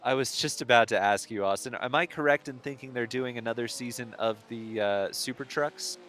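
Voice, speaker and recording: A man commentating a sim race on a live stream, friendly and halting, with many fillers as he runs down the standings. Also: mid-range voice, a bit bright, a little hiss or hum.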